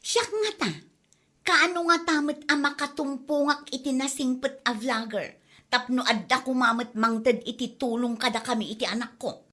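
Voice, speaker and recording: A lazy, scheming young mother, acted by a woman, complains in a high, piercing voice. Her Ilocano comedy drama delivery is whiny and forward, with a Filipino Ilocano accent. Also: moderate pace, pushed and bright. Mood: envious, pleading. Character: lazy, whining grown daughter and scheming mother.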